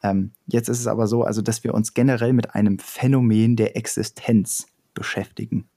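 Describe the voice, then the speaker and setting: A young man with a fairly low, mellow voice talks through an idea in casual conversation. He explains step by step, stopping often for fillers and starting sentences over. The delivery stays relaxed and unhurried.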